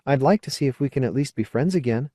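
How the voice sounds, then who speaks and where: A man's voice: mid-range voice, expressive, fast, dark tone, no room sound, studio quality, pitched above the performer's own voice, lightly acted. A man voicing a frank, earnest, coaxing woman in an audiobook. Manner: earnest, coaxing, plain-spoken. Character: frank, persuasive woman colonist, old friend. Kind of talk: audiobook character dialogue.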